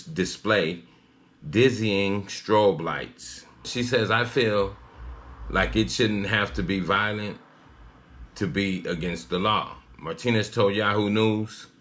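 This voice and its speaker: Male news commentator, low-pitched, slightly halting read, personal opinion style. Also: a bit bright.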